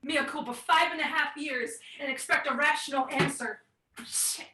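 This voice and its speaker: An actress playing an uptight, exasperated adult daughter in a stage play, protesting in clipped phrases. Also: female voice, high voice, fairly even intonation, moderate pace, pushed and bright. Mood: exasperated, defensive. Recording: echoey room, distant from mic.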